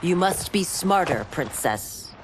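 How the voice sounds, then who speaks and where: An actress playing a loyal, honorable former royal guard in a video game, speaking in a solemn, formal, earnest way. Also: female voice, on the low side, lively intonation, background noise. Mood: firm pleading. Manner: solemn, formal, measured pleading. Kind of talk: dramatic video game cutscene acting.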